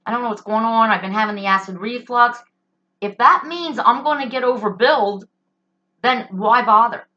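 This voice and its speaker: A woman's voice: on the high side, normal speed, sharp tone. A middle-aged American woman hosting a solo talk show, giving an emphatic, indignant opinion monologue in a conversational style. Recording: dull, lo-fi sound.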